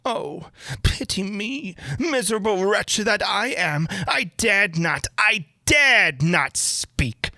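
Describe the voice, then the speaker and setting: A reader voicing a terrified, guilt-ridden, hysterical aristocrat madman in a dramatic gothic audiobook, gibbering between low murmurs and shrieks. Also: animated, takes it slowly, bright, forceful tone, no echo, studio quality, exaggerated voice. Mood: anguished, whispering.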